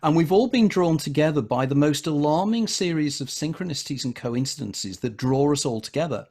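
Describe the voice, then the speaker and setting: Gravelly man telling a story. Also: a little high, expressive, a little fast, a little mellow. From England. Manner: discursive, recounts anecdotes at length. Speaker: interviewer, author. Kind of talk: casual interview conversation, storytelling.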